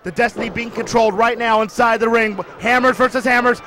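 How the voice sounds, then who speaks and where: A man calling play-by-play for a wrestling show, excitedly naming moves and wrestlers. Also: very high-pitched, a little fast. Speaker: wrestling commentator. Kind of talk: play-by-play commentary.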